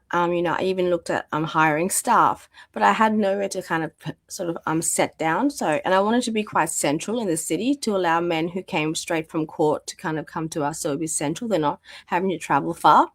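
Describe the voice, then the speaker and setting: A woman's voice: lowish voice, dry recording, clean studio sound. Chatty woman losing her voice. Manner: chatty, many fillers and restarts. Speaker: guest, domestic violence practitioner. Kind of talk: conversational interview storytelling.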